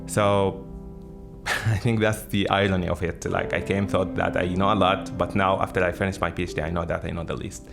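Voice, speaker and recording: A young man with a Sudanese accent and a low voice talks casually as an interviewed graduate student. Music is clearly playing behind him. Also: flat delivery, fairly quick.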